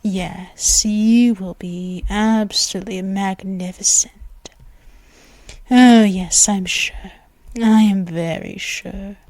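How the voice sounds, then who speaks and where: A commanding female vampire for an audio roleplay, purring each threat at an extremely unhurried pace. Her teasing menace slips into soft whispers, close and dry against the mic. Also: medium pitch, fairly even intonation, dry recording. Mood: possessive. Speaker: ASMR roleplay voice actress. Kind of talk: ASMR roleplay.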